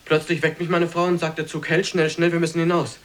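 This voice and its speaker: An actor in a German radio play, playing a polite, bewildered hotel guest, a modest civil servant who speaks courteously and hesitantly. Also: male voice, on the high side, little pitch movement, fast talker, fairly bright tone, close to an everyday voice. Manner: courteous, hesitant, self-effacing.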